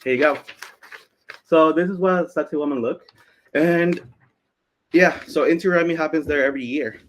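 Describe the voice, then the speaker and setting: A man's voice: on the high side, moderate pace, slight background noise. Man from Peru telling personal stories. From Cusco, Peru. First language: Spanish. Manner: conversational, frequent "like" and "basically". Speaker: speaker, community founder presenting. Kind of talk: informal personal storytelling presentation.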